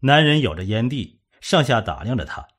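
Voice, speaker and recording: A man with a husky voice reading a scripted suspense story as an audiobook narrator. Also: mid-range voice, sing-song, normal speed.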